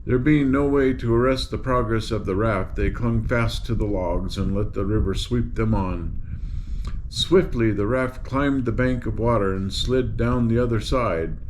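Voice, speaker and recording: A man's voice: on the low side, darkish tone, slight background noise, low-quality recording. An older man narrating a children's story in a folksy manner, with casual asides. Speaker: storybook reader.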